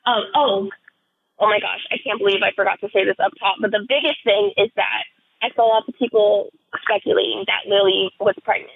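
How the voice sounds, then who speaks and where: A woman calling in to a show with a personal update, her voice hoarse and froggy, talking haltingly and earnestly with many restarts. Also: medium pitch, steady tone. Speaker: caller. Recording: tinny phone line.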